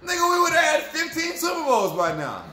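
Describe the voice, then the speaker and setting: Profane, ranting young man, high voice. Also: fairly quick, reverberant. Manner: profane, animated, frustrated rant to viewers. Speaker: sports fan vlogger. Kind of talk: ranting post-game fan review. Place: room at home, indoors.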